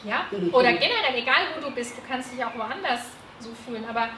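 A woman's voice: fairly high pitch, expressive, fast talker, pushed and bright. A woman moderating a live panel discussion, hesitant with many fillers. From Turkey. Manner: hesitant, many fillers, laughs at own slips. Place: seminar room with a panel. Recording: echoey room, background noise.

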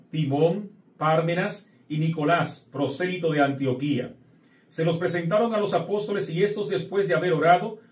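Priest guy doing mass, voice a bit high, lots of room echo. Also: a little mellow. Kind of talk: liturgical prayer, scripture reading and address to the faithful. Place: indoor chapel set at an altar. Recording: echoey room, distant microphone.

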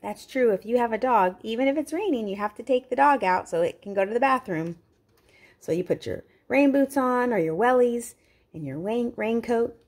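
A woman's voice: fairly high pitch, expressive, normal speed, darkish tone. A woman reading a picture book aloud to young children, expressively, with simple explanatory asides. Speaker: children's read-aloud host.

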